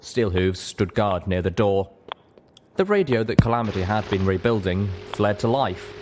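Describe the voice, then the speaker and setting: A man's voice: on the low side, expressive, moderate pace, loud music behind the voice. A man reading first-person fiction aloud as a dramatic story narrator. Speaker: story reader.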